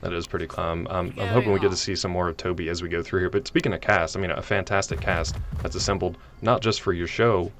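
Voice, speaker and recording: A man's voice: deep voice, fairly even intonation, fast talker, hardly any pauses, a crowd in the background. A middle-aged man hosting a casual gameplay play-along over a video call, talkative and upbeat. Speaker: host playing the game.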